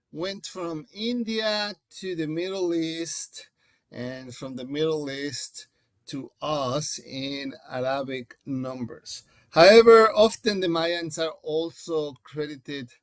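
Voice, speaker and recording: A man with a Mexican accent teaches a class in a conversational, explanatory way. His voice sits a little on the high side and he takes his time, speaking slowly. The sound is close and dry. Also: fairly bright tone, no room sound. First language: Spanish. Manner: explanatory, conversational, addresses listeners directly. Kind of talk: informal recorded lecture to a class.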